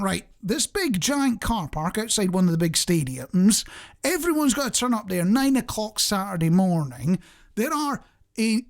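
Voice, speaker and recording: A man hosting a light-hearted radio show, chatting in a jokey, self-deprecating way straight to the listener. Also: high-pitched. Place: radio studio.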